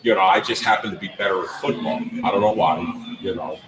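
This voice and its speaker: A middle-aged American man from North Jersey hosts casual sports talk in an animated, emphatic way. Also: low-pitched, fast talker, over music. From North Jersey, United States. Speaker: sports talk show host. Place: live broadcast from a grill restaurant. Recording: roomy sound with crowd chatter behind.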